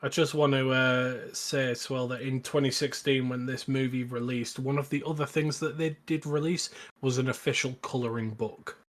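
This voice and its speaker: A man's voice: mid-range voice, fairly even intonation, normal speed, hardly any pauses. A man hosting a podcast, chatting casually as he reviews films. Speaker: podcast host.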